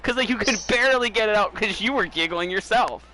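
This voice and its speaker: A man's voice: high-pitched, a little fast, a little hiss or hum, rough recording. A man streaming a baseball video game, chatting casually and laughing as he teases a friend. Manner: laughing, teasing, animated. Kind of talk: casual chat.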